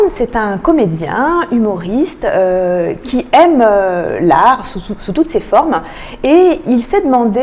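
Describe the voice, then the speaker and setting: Mellow-voiced woman, interview guest. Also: fairly high pitch. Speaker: guest, arts programming manager. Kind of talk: radio interview, presenting a cultural programme. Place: radio interview.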